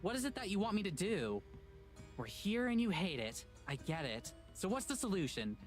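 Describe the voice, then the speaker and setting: A woman's voice: medium pitch, big pitch swings, normal speed, close to an everyday voice. Actress as young female investigator, mid-range voice, casual and persistent, game dialogue over faint music. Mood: frustrated, coaxing. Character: upbeat, persistent young woman investigator and companion. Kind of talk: visual novel voice acting.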